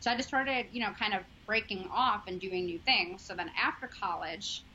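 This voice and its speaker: Woman, 30s, Chicago accent, bright tone, earnest interview guest, dull recording. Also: medium pitch, moderate pace. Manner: chatty, filler-heavy, earnest. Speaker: sports journalist guest. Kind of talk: casual interview chat. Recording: dull lo-fi audio, faint noise.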